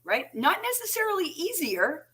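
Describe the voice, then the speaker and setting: A woman's voice: very high voice, very animated, normal speed. A woman with a Massachusetts accent co-hosting a casual chat over a video call, talking in a chatty way and often asking "right?". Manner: chatty, warm, seeks agreement with frequent "right?". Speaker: co-host.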